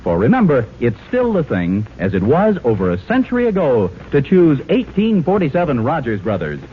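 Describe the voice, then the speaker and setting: Animated vintage radio announcer, a man. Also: medium pitch, normal speed, phone-quality sound, a little hiss or hum. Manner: polished, persuasive sales delivery. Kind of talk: 1940s radio commercial announcing. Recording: thin band-limited old radio recording.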